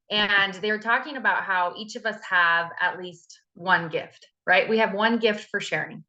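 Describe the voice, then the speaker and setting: A woman's voice: mid-range voice, pushed and bright, highs cut off. A woman team leader giving an earnest, encouraging talk to her team on a call, with frequent fillers. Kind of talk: motivational talk on a video call.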